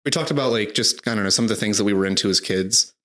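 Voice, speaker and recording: A man in his thirties with a low voice chats casually as a podcast co-host. He rambles with plenty of fillers and restarts, and his throat still sounds a little rough. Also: racing, a bit bright. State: slightly hoarse, recovering from illness. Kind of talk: casual chat.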